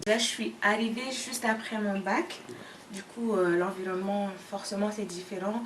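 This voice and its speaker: A woman's voice: medium pitch, steady tone, moderate pace, a bit bright. Woman in her twenties, casual student interviewee, roomy echo, faint noise. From Mali. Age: 20s. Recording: echoey room, distant mic, faint noise.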